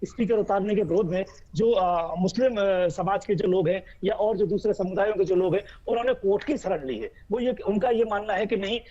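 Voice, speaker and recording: A man's voice: high-pitched, brisk, few pauses, warm tone. A man reporting live as a news bureau chief, speaking off the cuff in an emphatic, wordy, repetitive way. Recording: dull, muffled live link audio.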